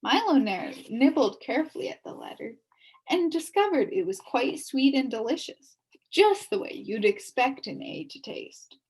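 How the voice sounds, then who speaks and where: Animated, breathy woman reading aloud. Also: sits high, moderate pace, rough recording. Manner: expressive, animated storytelling. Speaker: storybook reader. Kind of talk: reading a children's novel aloud.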